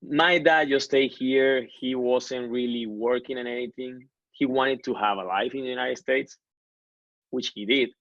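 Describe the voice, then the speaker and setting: A man's voice: medium pitch, fairly even intonation, a little slow, fairly bright tone, no echo. Man in his thirties. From Colombia. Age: 30s. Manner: earnest, many self-corrections and restarts. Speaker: guest. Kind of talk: casual interview storytelling. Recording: dull lo-fi dry recording.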